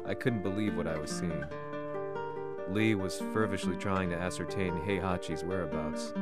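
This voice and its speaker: A man's voice: sits low, fairly even intonation, normal speed, natural voice. A male actor playing an earnest, troubled reporter in a video game, with a grave storytelling manner. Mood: somber, reflective. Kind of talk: dramatic video game narration and dialogue. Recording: loud music behind the voice.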